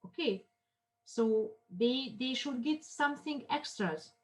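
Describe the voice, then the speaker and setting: Woman lecturing in halting English. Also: sits high, expressive, low-quality recording. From Armenia. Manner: halting non-native English, many fillers and restarts. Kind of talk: classroom lecture on marketing cases.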